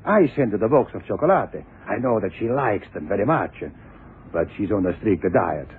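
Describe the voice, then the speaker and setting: A sentimental Italian opera gentleman played by a male actor gushes in accented, broken English. His lowish voice swings theatrically up and down. The old radio recording is tinny, with clear background noise. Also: animated, normal speed, lightly acted. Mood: sheepish, flustered. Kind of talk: 1970s radio crime drama acting.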